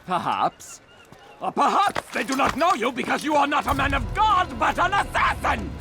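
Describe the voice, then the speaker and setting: An actor playing a paranoid, tyrannical knight commander in a video game, with an accusing, theatrical delivery. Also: male voice, very high voice, sing-song, moderate pace, vocal fry, noisy background, full character voice. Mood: accusing, suspicious. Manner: accusing, theatrical, swinging from menace to shouting. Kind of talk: dramatic video game acting.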